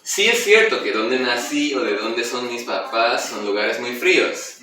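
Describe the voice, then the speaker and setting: A young man from Nepal talks through his answers as an interview guest, circling back with restarts and fillers. His voice is bright and clear in tone. He is recorded off-mic in a very echoey space with a faint hiss behind him. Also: mid-range voice, lively intonation, unhurried, recorded from a distance. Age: young adult. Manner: explains at length with restarts and fillers. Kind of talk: casual interview.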